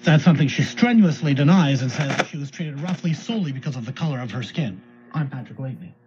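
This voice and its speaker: A man's voice: mid-range voice, lively intonation, fast talker, a little mellow. A man reading scripted news, heard through an old radio speaker. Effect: played through old radio speaker. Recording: dull, noisy AM radio playback.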